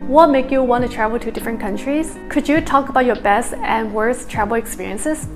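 Young woman vlogger, casual Q&A delivery, slightly high voice, soft music bed. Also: brisk. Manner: chatty, plain-spoken, promotes her own videos. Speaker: travel vlogger. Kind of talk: casual Q&A vlog, reading out viewer questions.

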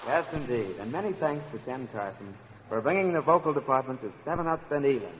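A man's voice: medium pitch, expressive, fast. A man hosting in the style of a 1940s radio variety show, with announcer patter. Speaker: radio show host and comic straight man. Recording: noisy, muffled old radio broadcast.

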